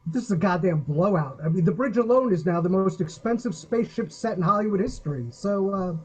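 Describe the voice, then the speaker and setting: Man playing high, husky, exasperated TV line producer. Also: lively intonation, brisk, rough recording. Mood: sarcastic. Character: exasperated, sarcastic, foul-mouthed male TV line producer.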